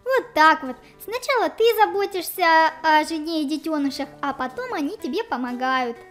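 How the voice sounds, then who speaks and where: Very high-pitched woman, chatty kids' game co-host. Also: animated, normal speed, a bit bright, faint music behind. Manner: chatty, exclamatory, addresses viewers. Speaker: co-host of a children's let's play. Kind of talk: game commentary for children.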